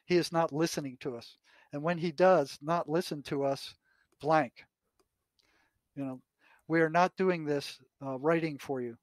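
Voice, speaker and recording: An older American man in conversation, telling anecdotes and reading aloud from notes in a halting way, often repeating his words. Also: fairly high pitch, steady tone, no echo. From United States. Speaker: retired psychotherapist, podcast guest.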